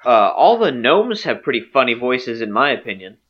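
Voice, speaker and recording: A mild bartender, played by a woman stammers through odd questions, flustered and evasive. The voice is low with lively swings of pitch. The recording sounds dull and lo-fi. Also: normal speed, full character voice. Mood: puzzled. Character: mild, puzzled male bartender.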